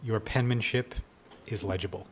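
A man's voice: fairly low pitch, steady tone, normal speed, a little hiss or hum. A man co-hosting a podcast in a casual, jokey interview style, irreverent and free with swearing. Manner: joking, irreverent, swears freely. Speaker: podcast co-host.

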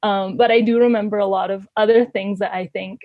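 A woman's voice: medium pitch, steady tone, a little fast, no echo, phone-quality sound. A woman telling personal stories casually, in the manner of an interview guest, often laughing as she talks. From born in Canada, grew up in Ecuador. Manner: giggly, laughs often while talking.

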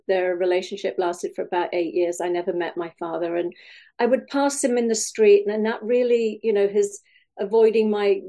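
Mellow-voiced woman, hesitant. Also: mid-range voice. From Wales. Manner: hesitant, many fillers and restarts, laughs often. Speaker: guest. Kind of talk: podcast interview.

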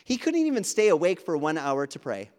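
A man's voice: on the high side, sing-song, brisk, a little mellow. Man from Wisconsin preaching in a church hall. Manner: conversational preaching with fillers and asides. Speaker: pastor. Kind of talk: sermon.

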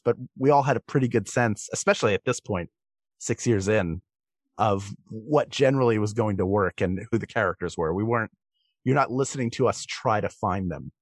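A man's voice: lowish voice, no room sound. A man giving thoughtful, explanatory answers as a performer guesting in a conversational interview. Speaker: podcast guest, comedic stage and voice performer.

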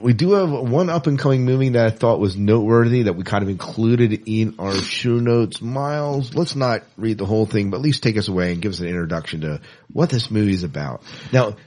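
A middle-aged man with a fairly low voice and a marked husky rasp. He sounds like a podcast host in casual conversation, opinionated about what he has watched. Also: fairly quick, a little mellow. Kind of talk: casual movie news chat.

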